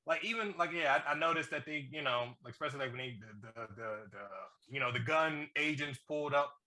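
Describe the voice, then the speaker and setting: A man's voice: fairly high pitch, fast talker, pushed and bright. A man co-hosting a movie review podcast, chatting casually and jokingly in loose street slang with plenty of cursing.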